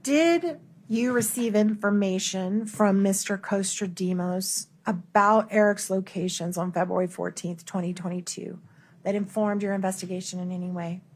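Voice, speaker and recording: A woman's voice: medium pitch, steady tone, normal speed. A woman lawyer questioning a witness in a courtroom, formal, pressing and insistent. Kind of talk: courtroom cross-examination.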